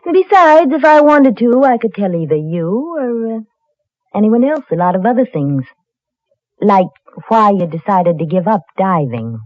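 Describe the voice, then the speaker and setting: An actress playing a young, scheming wife in old-time radio drama, self-assured and insinuating. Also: female voice, medium pitch, very animated, normal speed, no room sound, lightly acted. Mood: veiled threat. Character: young, cool, scheming wife, slyly threatening. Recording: muffled old radio recording.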